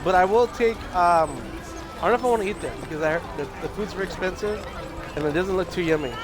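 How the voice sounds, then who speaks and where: A high-pitched man streams a loose, rambling chat, his voice scratchy and nearly gone. He restarts his sentences often as he talks. Game music plays clearly behind him. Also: fast talker. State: hoarse, losing his voice. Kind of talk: casual livestream chat. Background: video game music.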